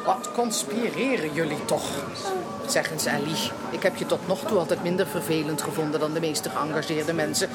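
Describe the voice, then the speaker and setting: A woman playing a forthright married society lady in a period radio drama, with a brisk, mocking manner. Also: low-pitched, over a noisy crowd, close to an everyday voice. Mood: teasing. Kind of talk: Dutch radio drama acting.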